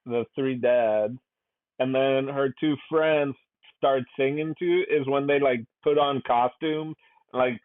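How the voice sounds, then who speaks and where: A man's voice: medium pitch, fairly even intonation, takes it slowly, dry recording. A man co-hosting a casual movie review podcast, rambling with many fillers and frequent laughs. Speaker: podcast co-host. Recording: tinny phone-like sound.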